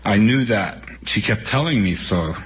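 Man in his sixties, softly reminiscing. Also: fairly low pitch, lively intonation, breathy edge. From New York City. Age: 60s. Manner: unhurried, reminiscing, with frequent pauses and fillers. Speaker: author and guest. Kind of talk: reflective storytelling and reading from his book. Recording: dull radio broadcast sound.

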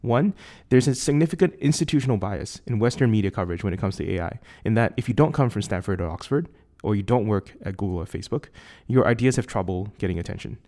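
A man with a Singaporean accent hosting a tech podcast, reading a measured, articulate prepared introduction. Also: expressive, mellow. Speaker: podcast host. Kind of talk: scripted intro then casual interview. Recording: lower quality recording outside a studio.

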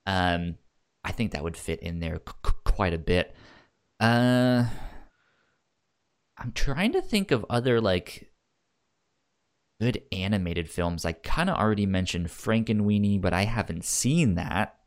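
Low-voiced man, halting podcast chat. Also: expressive, clean tone. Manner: halting, stammers and repeats words. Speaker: podcast host. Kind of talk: casual film discussion.

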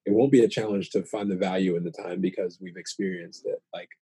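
A man in his late thirties with an American accent speaks in a mellow voice, recorded close and dry. He chats in a sincere, reflective way, often pausing and repeating himself as he thinks. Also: deep voice, steady tone, breathy edge, no echo. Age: late 30s. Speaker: podcast guest, new father. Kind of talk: casual heartfelt chat.

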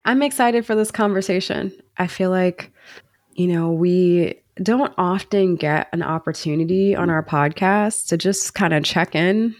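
A woman's voice: on the low side, measured pace, dry recording, studio quality. A woman co-hosting a podcast, a bit congested, talking in a thoughtful, explanatory way. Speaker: podcast co-host. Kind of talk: casual podcast chat.

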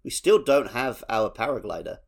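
A man's voice: medium pitch, animated, normal speed, gravelly, slightly rough. A man co-hosting a gaming podcast in a casual, chatty style. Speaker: podcast co-host.

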